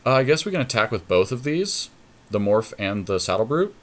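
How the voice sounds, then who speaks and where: A man's voice: fairly low pitch, sing-song, fairly quick. A man co-hosting a podcast, chatting casually and thinking aloud as he plays a card game. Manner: deliberating, thinks out loud. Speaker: podcast co-host.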